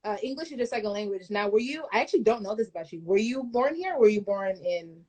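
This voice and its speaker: A woman's voice: medium pitch, expressive, quick pace, dark tone. Talk show host, woman, upbeat and chatty, joking with her guest. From United States. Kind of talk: casual live-stream talk show.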